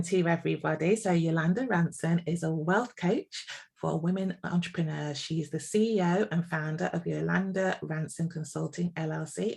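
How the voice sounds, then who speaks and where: Chatty host woman with a gravelly voice. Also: low voice. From England. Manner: chatty, warm-hearted, many ums and restarts. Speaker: podcast host. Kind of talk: casual interview chat.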